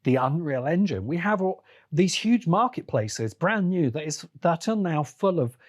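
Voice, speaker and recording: Male podcast host, slightly high voice, emphatic and deliberate, conversational solo commentary. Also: a little mellow.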